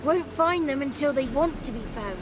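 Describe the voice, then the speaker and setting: A woman's voice: sits high, lively intonation, moderate pace, background noise. A woman voicing a cheeky, childlike male swamp creature in a video game, talkative and theatrical, with boastful, florid wordplay. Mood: ominous. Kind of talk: video game character acting.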